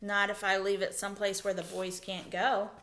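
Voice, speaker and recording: A woman plays a small, bold male mouse from a children's storybook. He is insistent and quick to bargain. The pitch is medium, in a storybook read-aloud style. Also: level tone, a bit bright, natural voice. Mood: sly, firm.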